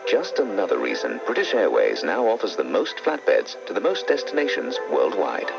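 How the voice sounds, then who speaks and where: Gravelly, low male airline ad announcer. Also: wildly swooping pitch, moderate pace. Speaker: commercial announcer. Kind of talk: scripted airline radio ad. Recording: dull lo-fi radio ad, loud music.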